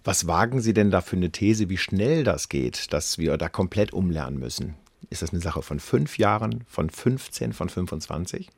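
A man's voice: deep voice, expressive, normal speed, vocal fry, breathy edge, dry recording. A middle-aged man hosting a radio interview, asking questions in a formal, courteous way. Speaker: radio host.

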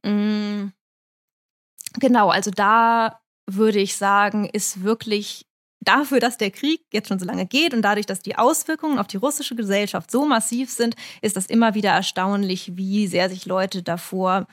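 A woman speaking a language that is not her first, answering interview questions in a measured, explanatory way with frequent hesitations. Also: mid-range voice, a bit bright. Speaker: correspondent in Moscow.

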